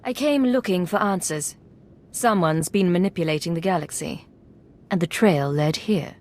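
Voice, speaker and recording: A woman's voice: on the low side, normal speed, darkish tone, natural voice. An actress playing a composed, determined female secret agent in a video game, questioning in a controlled, direct way. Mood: probing. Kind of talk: dramatic video game acting.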